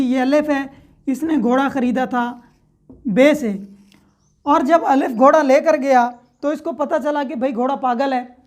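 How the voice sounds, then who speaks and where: A woman's voice: sits high, darkish tone. A woman with a Pakistani accent giving an explanatory law lecture in a conversational way, with frequent check-in tags. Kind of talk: explanatory lecture with worked examples.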